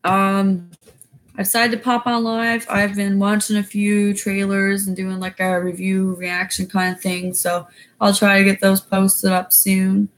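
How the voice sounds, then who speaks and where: A woman with a raspy voice chatting casually on a livestream, rambling with many fillers. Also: medium pitch, little pitch movement, a little slow. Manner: rambling, loose, lots of fillers. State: winded after exercising. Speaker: YouTuber going live.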